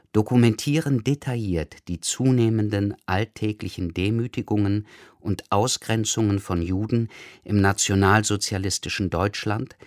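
Ein Mann, der sachlich und gemessen einen dokumentarischen Einführungstext vorliest. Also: male voice, lowish voice, no room sound, clean studio sound. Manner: measured, factual. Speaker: narrator introducing the programme. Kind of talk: scripted documentary narration.

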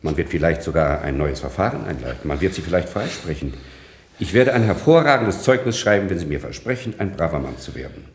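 Swooping, patronizing prison director, echoey. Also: male voice, deep voice, expressive, a little fast, a little hiss or hum. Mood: condescending, official. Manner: officious, condescending, emphatic. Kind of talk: 1960s German radio play acting. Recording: echoey 1960s radio play studio.

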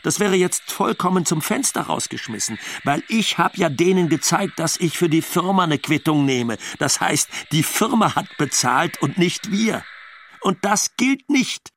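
A man's voice: fairly high pitch, lively intonation, unhurried, fairly bright tone, a little hiss or hum. A man playing an exasperated Western husband living in China, telling his story bluntly and colloquially in a documentary radio drama. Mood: wry, exasperated.

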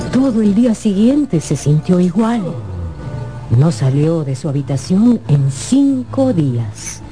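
A woman narrates a radio drama in a slightly low voice. Her storytelling is slow and theatrical, with deliberate phrasing, and music plays clearly behind her.

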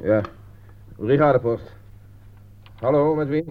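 An actor in a radio play playing a dutiful army telephone operator, clipped, deliberate and matter-of-fact. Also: male voice, mid-range voice, close to an everyday voice. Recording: thin, narrow-band old radio recording.

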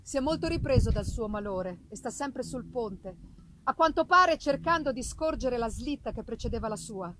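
A woman reading a literary novel aloud as dramatic narration, measured and expressive, with deliberate pauses. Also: on the high side, a little hiss or hum. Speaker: reader of a novel. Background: live musical accompaniment announced for the reading.

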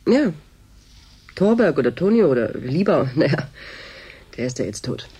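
A woman's voice: sits low, big pitch swings, normal speed, warm tone, breathy edge, exaggerated voice. An actress playing a detached, bureaucratic female doctor in a German radio drama, matter-of-fact and probing. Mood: casually probing.

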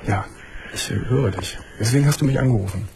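A man hosting a late-night call-in show from a studio, asking calm, probing questions and giving short replies as he listens. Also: medium pitch, fast, noisy background. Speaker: radio and TV talk show host.

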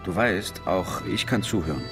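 An actor playing a gentle, thoughtful man who listens patiently, speaking haltingly in a naturalistic radio drama. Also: low voice, lively intonation, over loud music. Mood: soothing.

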